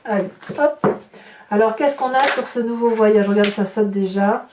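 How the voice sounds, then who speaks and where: A woman giving a tarot reading to her viewers, speaking slowly and emphatically in a reassuring, direct way. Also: mid-range voice, distant mic, background noise. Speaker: tarot reader. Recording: roomy, from off-mic.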